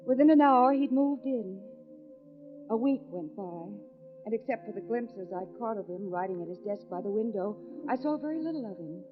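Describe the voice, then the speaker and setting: A woman's voice: mid-range voice, expressive, moderate pace, over music, lightly acted. An actress playing a lonely, sheltered and polite young woman in 1940s radio drama style. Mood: wistful. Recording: tinny narrow-band 1940s radio recording.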